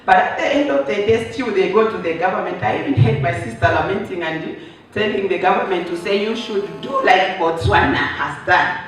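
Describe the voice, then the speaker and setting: A hoarse man giving a conference presentation, explaining step by step and answering his own rhetorical questions. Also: high voice, normal speed, a bit bright. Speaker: workshop presenter. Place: conference hall. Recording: roomy echo, voice from a distance, faint noise.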